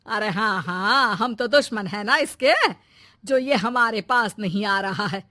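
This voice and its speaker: A woman's voice: medium pitch, unhurried, sharp tone, dry recording, clean studio sound. A woman playing a scheming old mother-in-law and grandmother in a moral-story cartoon, scolding and sarcastic. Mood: bitter, sarcastic.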